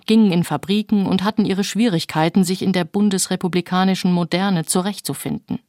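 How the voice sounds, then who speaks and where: A woman's voice: fairly low pitch, darkish tone, dry recording, clean studio sound. A woman presenting a scripted introduction on a radio book programme.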